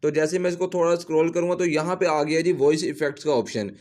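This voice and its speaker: Male tutorial host, a little higher in pitch, casual walk-through delivery with repetitive instructional phrasing. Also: quick pace, a little mellow. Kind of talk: casual step-by-step app tutorial.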